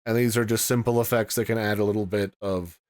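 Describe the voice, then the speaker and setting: A man's voice: fairly low pitch, steady tone, quick pace, a little mellow. A man giving a casual, chatty product review and walkthrough, explaining things in a folksy way. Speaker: tech reviewer.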